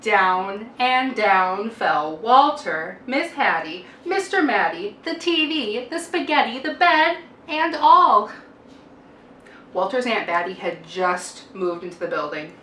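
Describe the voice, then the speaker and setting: A woman reading a children's picture book aloud like a teacher, with expressive, deliberate emphasis and a dramatic sing-song delivery. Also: medium pitch. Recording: roomy, distant microphone, faint noise.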